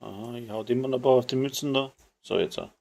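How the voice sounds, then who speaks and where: A man's voice: mid-range voice, fast, gravelly, a little mellow. Bavarian-accented man chatting casually over a game. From Bavaria, Germany. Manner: colloquial, dialect-tinged, mild swearing. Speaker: live streamer playing a video game. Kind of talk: casual chat while gaming.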